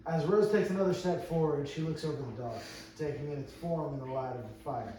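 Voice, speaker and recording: Male narrator, higher-pitched, plain screenplay reading, occasional stumbles, distant mic, very echoey, noisy. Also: a little fast, warm tone. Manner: plain, stumbles and rereads phrases. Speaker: narrator reading stage directions. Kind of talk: amateur table read of a screenplay. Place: indoor room with a few other readers.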